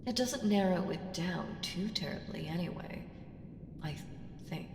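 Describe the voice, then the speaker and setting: Slow, echoing, creaky ancient evil woman, acted out. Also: fairly low pitch, animated. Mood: guarded, rueful. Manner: deliberate, teasing, condescending, dropping into breathy whispers. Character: ancient evil female entity, powerful, haughty, coolly menacing patron. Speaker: audio roleplay voice actor. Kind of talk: slow ASMR audio roleplay monologue. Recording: echoing long hall reverb, ambient hiss.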